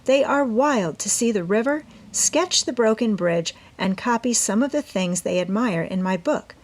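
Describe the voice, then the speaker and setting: A woman's voice: fairly high pitch, expressive, normal speed, voice pushed up. A woman voicing a genteel, self-assured teenage girl with airy, persuasive manners in a storybook reading. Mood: polite, eager. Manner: airy, important, sweetly persuasive.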